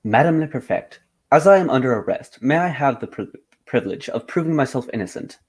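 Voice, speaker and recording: A man's voice: mid-range voice, lively intonation, fairly quick, darkish tone, slightly rough. A man playing a shrewd, coolly confident detective in an amateur radio mystery play. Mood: confident, accusing.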